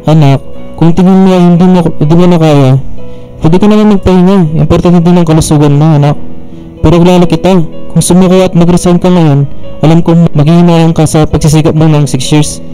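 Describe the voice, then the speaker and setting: Actor as mellow, earnest workaholic father. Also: on the high side, steady tone, a little fast, faint music behind, lightly acted, rough recording. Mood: earnest, advising. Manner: paternal, earnest, advising. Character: workaholic older father, fond but pressing about career. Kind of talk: radio drama acting.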